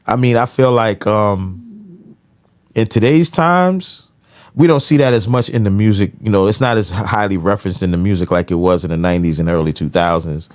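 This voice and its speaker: A man's voice: on the low side, moderate pace. An American man in casual podcast conversation, giving his opinions with frequent conversational tag phrases. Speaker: podcast co-host.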